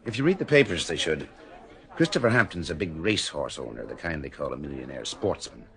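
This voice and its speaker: An actor playing a wisecracking private detective, with a dry, sardonic way of talking, in old-style radio drama acting. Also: male voice, a bass voice, big pitch swings, a little fast, a faint crowd, full character voice. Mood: wry.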